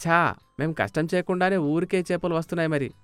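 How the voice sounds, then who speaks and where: A man's voice: on the high side, quick pace, a bit bright, smooth, dry recording, soft background music, lightly acted. A man voicing an indignant village fisherman who haggles with sarcasm and pleading in a moral story. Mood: indignant, mocking.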